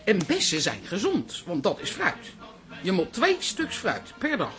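Casting: sheepish café auntie, animated, swinging intonation for radio drama. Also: female voice, mid-range voice, a little slow, faint music behind. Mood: self-justifying. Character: plump aunt, a café regular, easily tempted. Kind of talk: Dutch radio drama acting.

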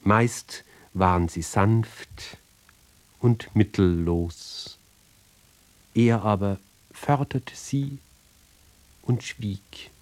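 A man giving a measured literary recitation for radio, speaking deliberately in short phrases with pauses between them. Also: on the low side. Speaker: reader.

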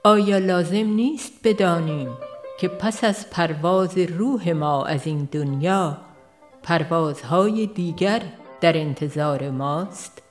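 A woman narrating a spiritual book in a measured, reverent manner. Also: fairly low pitch. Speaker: audiobook narrator. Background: music.

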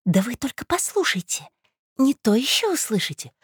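A nosy storybook gossip voiced by a woman narrator with a raised, animated voice. She is brusque and conspiratorial, needling her listener with spite. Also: fairly high pitch, normal speed, slightly rough, no room sound, studio quality, pitched above the performer's own voice, heavily acted.

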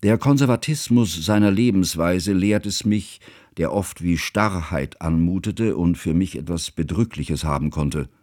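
Anxious scholarly guy narrating a radio drama, low voice, very formal, dry sound. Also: a little slow, darkish tone, no echo. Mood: reflective, measured. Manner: formal, scholarly, ornate phrasing. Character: bookish, anxious man narrating his friend's life story.

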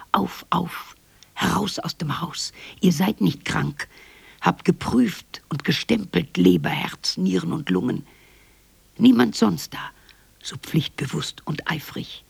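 A woman playing a very old, wistful visionary in a radio drama monologue, husky and gravelly, speaking in incantatory, repeated fragments. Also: fairly low pitch, no room sound, full character voice. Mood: urging. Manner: incantatory, fragmented phrases with repetitions.

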